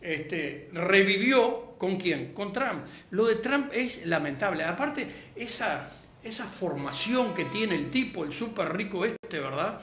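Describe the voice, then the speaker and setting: A man's voice: sits high, lively intonation, takes it slowly, fairly bright tone, slight background noise. A man with a Uruguayan accent talking politics in an opinionated, emphatic way, often checking in with short tag questions. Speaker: interview guest, political activist. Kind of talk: animated political discussion. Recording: roomy, echoing sound.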